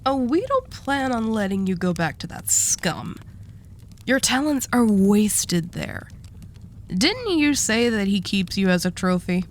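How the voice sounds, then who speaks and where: A woman's voice: mid-range voice, sing-song, a little slow, a little hiss or hum. A woman playing a dominant, seductive mafia boss in a roleplay audio drama, teasing and possessive with a coaxing menace. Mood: possessive, menacing.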